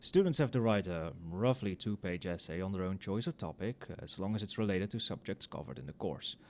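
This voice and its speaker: Guy playing a tired wry magic professor, soft low-ish muttering, asmr roleplay, bit of noise behind him. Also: expressive, brisk. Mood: friendly, confiding. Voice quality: soft-spoken. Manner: casual, muttering, dryly sardonic. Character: wry, overworked male magic professor grading papers late at night.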